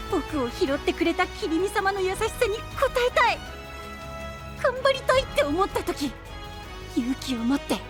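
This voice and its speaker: A soft, boyish young knight, timid yet earnest. His voice sits very high and swings widely as he speaks. Music plays under this anime voice drama.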